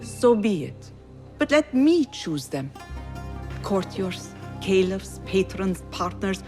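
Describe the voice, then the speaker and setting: A proud, flirtatious poetess from a video game. She takes her time over eloquent lines, with pitch that swoops expressively, over faint music. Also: very animated, dark tone. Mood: proud, reflective. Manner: eloquent, theatrical, measured phrasing. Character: proud, witty, flirtatious woman poet, fiercely independent. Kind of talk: theatrical video game acting.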